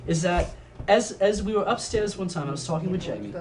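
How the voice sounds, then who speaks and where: A man's voice: sits high, brisk. A man chatting casually as a charity stream co-host. He tells stories and often stammers through restarts. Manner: animated storyteller, stammering restarts. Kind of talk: casual chat.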